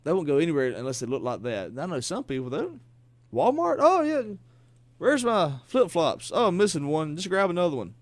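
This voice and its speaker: A man's voice: fairly high pitch, no echo. A man from south Georgia with a Southern accent, co-hosting a casual talk show and exclaiming as he teases his co-host. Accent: Southern American. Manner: animated, teasing, quick to exclaim. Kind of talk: casual chat.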